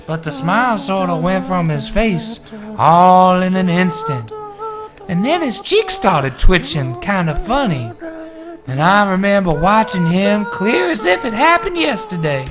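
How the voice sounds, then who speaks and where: Folksy female survivor, put on by a woman, drawn-out dramatic storytelling, unhurried pace, music behind. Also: mid-range voice, sing-song. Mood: uneasy recollection. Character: folksy woman survivor recounting a horrific bar shooting. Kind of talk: dramatic reading of a first-person eyewitness account.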